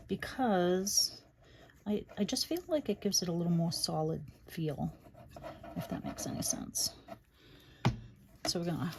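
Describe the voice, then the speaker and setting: A woman's voice: on the low side, gravelly, noisy background. A woman with a Boston accent chatting casually as she walks through a crafting project, thinking aloud. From Boston area, USA. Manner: chatty, thinks aloud, drops into quiet asides. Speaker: crafting channel host. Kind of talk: casual crafting tutorial chat.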